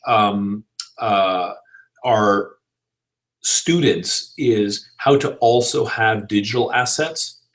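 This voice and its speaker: A man with a slightly raspy, tired voice, answering audience questions in a casual live Q&A about online business. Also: fairly low pitch. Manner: casual, energetic business coaching with frequent "you know" and "right?". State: slightly hoarse from long filming.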